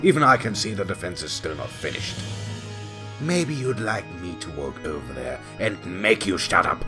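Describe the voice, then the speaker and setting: A commanding courtroom judge acted by a man, low in pitch with lively swings. He is stern and short of patience, in an audio drama with music behind him. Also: moderate pace. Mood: irritated, commanding. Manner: authoritative, scolding. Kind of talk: amateur audio drama acting.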